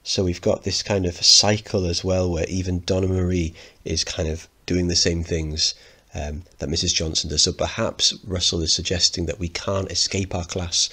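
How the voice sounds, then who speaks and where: A man's voice: sits low, steady tone, moderate pace, bright, forceful tone, slightly rough. A man teaching, narrating a play and explaining it in an instructive way.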